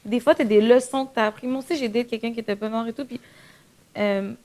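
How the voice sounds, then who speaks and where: A high-pitched female voice with a light Quebec accent. She talks in an animated, informal podcast style, dropping English words into her French as she goes. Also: quick pace. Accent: Quebec French, slight. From Quebec, Canada. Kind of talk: casual podcast chat with English slang mixed in.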